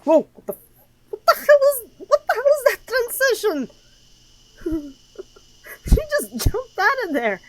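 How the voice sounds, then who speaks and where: A woman game streamer giving playthrough commentary and reading game text aloud, with expressive, sarcastic reactions. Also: very high-pitched, a little slow, long pauses, no room sound, low-quality recording.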